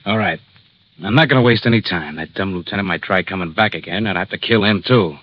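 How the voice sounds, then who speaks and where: Tough old-radio gangster, low husky voice, curt threats, muffled recording. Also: male voice, fast talker, dry recording. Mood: menacing. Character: menacing escaped male convict holding a man at gunpoint. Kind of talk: 1950s radio crime drama acting.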